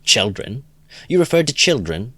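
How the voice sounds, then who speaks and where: An eager young idealist lectures with emphatic zeal, his voice pushed higher and louder than the reader's own deep tone, as a man puts him on. The recording is close and dry. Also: moderate pace, no room sound, lightly acted. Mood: earnest. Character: earnest, excitable young male idealist, preachy. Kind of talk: audiobook reading.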